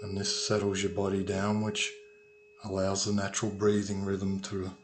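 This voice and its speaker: Low, even-voiced man guiding a meditation. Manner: calm, unhurried, with long pauses between phrases. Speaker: meditation guide, sound healer. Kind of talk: guided meditation, slow soothing instruction. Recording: noisy recording with ringing tuning forks. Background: humming tuning forks.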